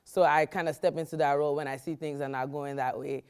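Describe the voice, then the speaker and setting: Deep smooth-voiced thoughtful woman. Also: steady tone, fast, darkish tone. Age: 30s. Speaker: interviewee, single woman dating. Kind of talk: casual interview talk.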